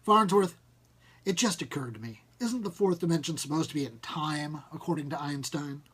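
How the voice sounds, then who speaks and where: A man's voice: a little high, normal speed, a bit bright, husky. A man voicing a bemused, apologetic companion in a science fiction story, hesitant and wry. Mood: wry, tipsy.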